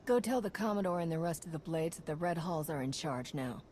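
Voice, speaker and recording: A woman's voice: low voice, expressive, fairly quick, darkish tone, slight background noise. A woman voicing a wry, cocky smuggler in a video game, with a casual way of talking. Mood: confident.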